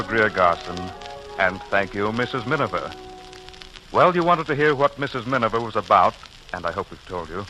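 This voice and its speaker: Bright-voiced 1940s radio announcer, male. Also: fairly low pitch, low-quality recording. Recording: hissy 1940s radio recording.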